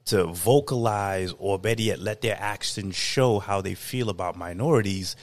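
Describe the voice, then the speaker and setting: Gravelly, low-voiced man, reflective, weighs each point. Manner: measured, reflective, many fillers like "you know". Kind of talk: casual discussion.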